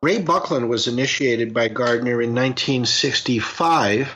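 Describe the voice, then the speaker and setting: A man's voice: medium pitch, moderate pace, fairly bright tone, muffled recording, slight background noise. An older man from the United States answering interview questions in a reflective, rambling way, often restarting his sentences. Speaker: guest.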